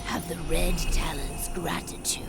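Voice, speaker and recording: A woman acting a proud, solemn werewolf pack leader in a game cutscene, speaking gravely and formally with breathy drops toward a whisper. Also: low-pitched, fairly even intonation, measured pace, over loud music. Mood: remorseful, grave.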